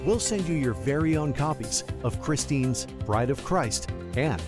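A man reading a smooth, measured promotional voice-over. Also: mid-range voice, animated. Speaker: announcer. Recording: voice-over with music bed.

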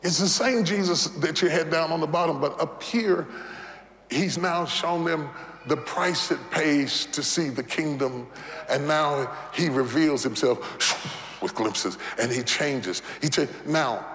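A man's voice: fairly high pitch, slow. Preaching man in his fifties, echoing hall. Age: 50s. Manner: impassioned preaching with repeated phrases and shouted peaks. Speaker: pastor. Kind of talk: sermon. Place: church sanctuary. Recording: long reverb of a large hall.

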